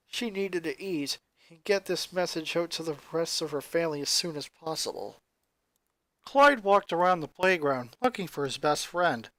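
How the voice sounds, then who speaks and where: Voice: medium pitch, fairly bright tone, dry recording. A narrator reading fan fiction aloud as scripted story narration. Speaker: story reader.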